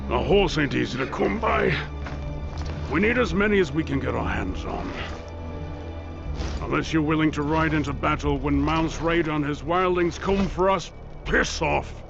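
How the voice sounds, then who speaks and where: Gruff, contemptuous stableman, very gravelly, slightly high voice, fantasy game villainy. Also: measured pace, long pauses. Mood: scornful, dismissive. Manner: gruff, contemptuous, rough rustic speech. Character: surly, hostile horse keeper of a northern watch. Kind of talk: video game cutscene acting. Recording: dull muffled audio with music behind.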